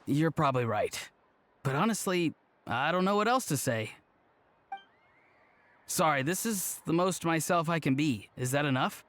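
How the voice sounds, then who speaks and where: A man's voice: on the high side, expressive, moderate pace, pauses often, fairly bright tone. An actor playing a laid-back young man in a video game, casual, understated and a little awkward. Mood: awkward, candid. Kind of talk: voice-acted game dialogue.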